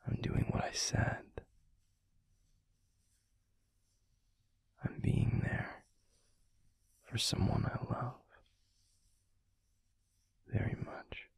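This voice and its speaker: A man voicing a gentle, protective alpha werewolf boyfriend in an intimate ASMR roleplay, murmuring slowly and haltingly in a breathy, gravelly near-whisper. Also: lightly acted. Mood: tender, hesitant. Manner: slow, halting, soothing murmur with pauses.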